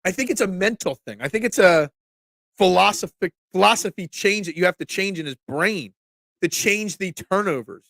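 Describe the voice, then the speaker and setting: A man, congested from a cold, hosting a casual sports talk stream, excitable and opinionated, often restarting his sentences. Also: high-pitched, normal speed, creaky, no echo, studio quality. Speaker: sports talk show host. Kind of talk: casual live-stream sports talk, reading chat comments.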